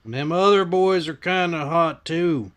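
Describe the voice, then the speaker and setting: A man's voice: fairly high pitch, a little slow, fairly bright tone. A man acting a laconic, folksy saloon keeper in a western radio drama, understated in manner. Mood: wary.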